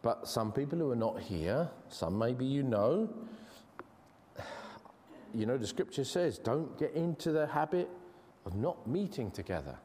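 An English man delivers a sermon in a church in a middle-pitched voice. He speaks earnestly and conversationally, restarting phrases and slowing down to stress key words. A long reverb from the hall trails each phrase. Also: sing-song, mellow, slight background noise. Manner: earnest, conversational, with frequent restarts and slow emphasis. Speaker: preacher.

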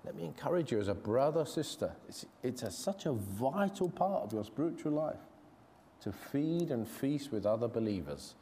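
English preacher in a reverberant hall. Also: male voice, medium pitch, sing-song, warm tone, a little hiss or hum. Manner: earnest, conversational, with frequent restarts and slow emphasis. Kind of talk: sermon. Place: church.

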